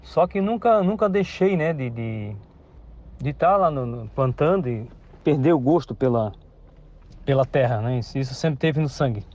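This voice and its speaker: A fifty-year-old man talks about his farm in a halting, casual way, restarting phrases often. His voice sits mid-range, and the recording is dull and lo-fi. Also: medium pitch, lively intonation, a little mellow. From Santa Catarina, Brazil. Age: 50s. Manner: hesitant, many restarts and tag questions. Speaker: farmer interviewee. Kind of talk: casual spoken account. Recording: dull lo-fi radio interview sound.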